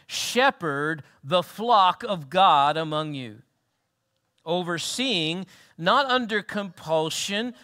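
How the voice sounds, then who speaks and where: Middle-aged New man, pastor at a church lectern; bright, slightly high voice, earnest sermon delivery with soft dips and emphatic peaks. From New Zealand. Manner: earnest, swings between soft lows and emphatic rises. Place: church hall with wooden wall.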